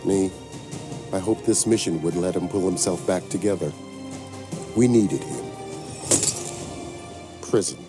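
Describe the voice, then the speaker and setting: Somber American male spymaster, very deep voice, reflective and plain-spoken, game narration over music. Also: a little slow, long pauses. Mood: somber, regretful. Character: somber, world-weary older male spymaster, loyal and regretful. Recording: music behind the voice.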